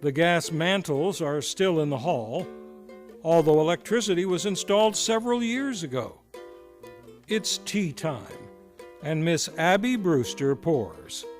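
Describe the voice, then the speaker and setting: A man's voice: fairly high pitch, expressive, a little slow, soft background music. A man hosting and narrating a radio play with theatrical, grandiose scripted delivery. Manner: grandiose, showy announcing.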